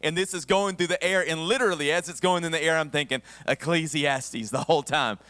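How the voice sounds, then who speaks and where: A man's voice: a little high, a little fast, sharp tone, dry recording, in a large hall. A male pastor with a Southern American accent preaching a conversational sermon with humorous asides, his voice a bit worn. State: voice somewhat worn, losing his voice. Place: church sanctuary.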